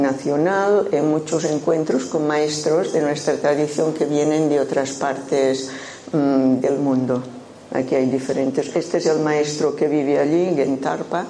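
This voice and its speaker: An older woman with a Menorcan Spanish accent speaks very slowly in a soft, mellow voice. She talks to a room as a Buddhist teacher and often stops to think. The recording is roomy, with faint noise behind her. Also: low-pitched. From Menorca, Spain. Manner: unhurried, thoughtful, with frequent hesitations. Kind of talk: informal talk to an audience.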